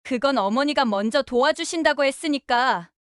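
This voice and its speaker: A woman's voice: high voice, moderate pace, clean tone, no room sound, clean studio sound, lightly acted. A woman playing a defensive, evasive wife making excuses to her husband in a dramatized family story.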